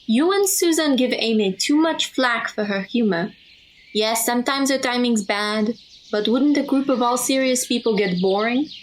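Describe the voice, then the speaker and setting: A woman's voice: on the high side, moderate pace, slight background noise, lightly acted, poor audio. A woman playing a level-headed, good-natured teenage girl with a sensible, teasing manner in an audio drama. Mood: reasoning, teasing.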